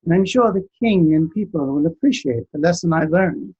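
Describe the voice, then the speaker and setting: A man storyteller voicing a gentle old wise man who speaks calmly and politely. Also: fairly high pitch, moderate pace, breathy edge, dull audio. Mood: mild. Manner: calm, ponderous, polite.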